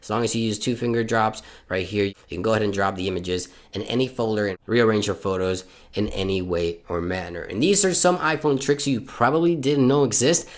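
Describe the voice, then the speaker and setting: A man's voice: on the low side, a little fast, hardly any pauses. Upbeat man from Puerto Rico, tech host. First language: Spanish. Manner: upbeat, instructional, step-by-step. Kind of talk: tech tips walkthrough.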